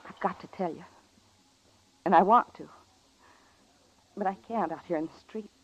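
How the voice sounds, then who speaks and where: A woman's voice: fairly low pitch, normal speed, dull audio, natural voice. A woman playing a desperate, pleading American frontier woman in a radio western, emotional in her delivery. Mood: apologetic, pleading. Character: desperate, pleading saloon woman of the Old West. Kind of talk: 1950s radio western acting.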